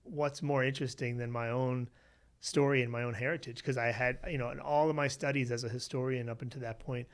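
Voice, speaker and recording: A man from Western Pennsylvania, a researcher being interviewed, telling his family story in a relaxed way with many "you know"s. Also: medium pitch, a little fast. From Western Pennsylvania, USA. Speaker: guest, researcher. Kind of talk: conversational interview.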